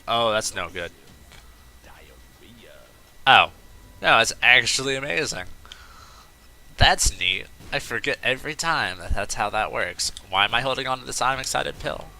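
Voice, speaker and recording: A man's voice: mid-range voice, lively intonation, unhurried, vocal fry, pauses often, bright, forceful tone, low-quality recording. A man hosting a let's play, chatting casually and rambling into off-topic asides while he plays. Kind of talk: casual gameplay commentary.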